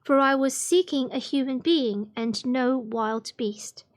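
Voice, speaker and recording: A curt, commanding wandering fiddler from a storybook, voiced by a woman. He is a schemer, and his voice is pitched high. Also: takes it slowly. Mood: satisfied.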